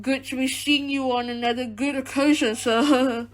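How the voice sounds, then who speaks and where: A woman's voice: high voice, fairly even intonation, normal speed, lightly acted. A woman acting as a harsh, domineering father in a radio drama. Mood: commanding or fawning. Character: harsh, domineering father, abusive to his daughter.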